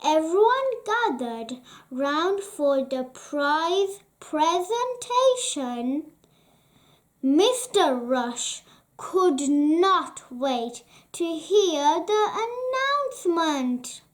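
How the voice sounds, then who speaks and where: Very high-pitched, slow children's storybook reader. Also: very animated. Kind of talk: read-aloud bedtime story narration.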